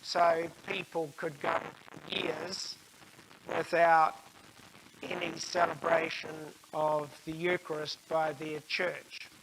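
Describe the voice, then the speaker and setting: An older man giving an explanatory religious talk in a measured, halting way, with frequent pauses mid-sentence. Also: on the high side, flat delivery, background noise.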